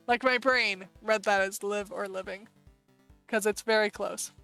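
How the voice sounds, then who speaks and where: A woman with an American accent, casually chatting through a live stream and thinking aloud with quick asides. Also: mid-range voice, steady tone, vocal fry, bright, forceful tone, faint music behind, poor audio. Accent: American English.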